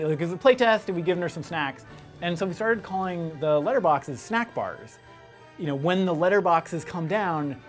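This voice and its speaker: A man who develops games, talking casually in an interview, thinking aloud with frequent "you know". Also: on the high side. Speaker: game developer being interviewed. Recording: music behind the voice. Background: background music.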